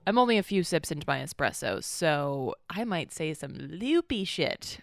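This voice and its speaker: A woman's voice: low-pitched, sing-song, moderate pace, gravelly, no echo, clean studio sound. A woman co-hosting a political podcast in casual chat, sniffly from allergies, rambling and sardonic, with some swearing. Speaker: podcast co-host.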